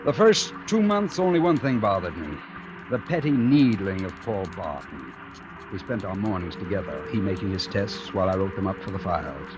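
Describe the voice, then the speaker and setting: An actor playing a proud, romantic wandering painter in 1940s radio drama style, speaking in measured, poetic, literate phrases. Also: male voice, sits low, very animated, low-quality recording. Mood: brooding, reflective. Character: proud romantic itinerant painter, thoughtful and defiant. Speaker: lead actor and narrator. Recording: old radio recording with music.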